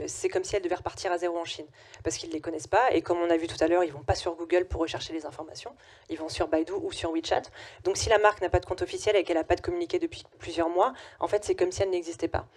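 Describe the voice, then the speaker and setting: Young woman giving a business talk, lowish voice, lots of euh and restarts. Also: a little mellow. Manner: hesitant, many "euh" fillers and restarts. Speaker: conference speaker, company CEO. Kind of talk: conference talk with Q&A.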